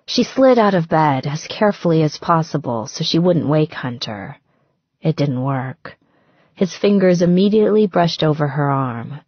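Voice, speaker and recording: A woman reading romance fiction as an audiobook narrator, with expressive, dramatic phrasing. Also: deep voice, moderate pace, breathy edge, no echo, lo-fi sound.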